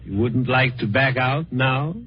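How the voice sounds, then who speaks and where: An actor playing a sly, mock-courteous older gentleman plotting murder, in the style of old-time radio suspense. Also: medium pitch, measured pace, husky. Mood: silky menace. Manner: mock-courteous, chuckling, savouring his words. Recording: muffled, noisy vintage radio recording.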